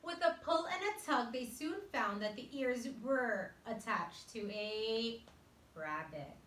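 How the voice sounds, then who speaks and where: Animated woman reading aloud, bright and unhurried. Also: a little high. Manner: expressive, dramatic emphasis, pausing to question listeners. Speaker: teacher reading aloud to young children. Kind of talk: animated storybook read-aloud with questions to children. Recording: roomy, distant mic with faint noise.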